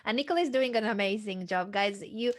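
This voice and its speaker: Female coach, friendly and explanatory, mid-pitched. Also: brisk. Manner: friendly, laughs often, explanatory. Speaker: chess coach. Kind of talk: coaching on a live stream.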